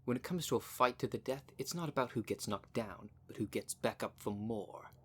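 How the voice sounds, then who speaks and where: Male werecat played by a man: boastful, cunning, menacing, mid-pitched, theatrical audio roleplay delivery, faint background noise. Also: fairly quick, lightly acted. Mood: taunting, menacing. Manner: smug, taunting, theatrical. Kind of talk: ASMR roleplay monologue.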